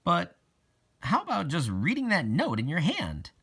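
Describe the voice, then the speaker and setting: A man's voice: fairly high pitch, huge pitch swings, measured pace, fairly bright tone, husky, voice pushed up, exaggerated voice. A man voicing a wry, impatient young girl in an audiobook, speaking in a sardonic, emphatic way. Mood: sarcastic impatience.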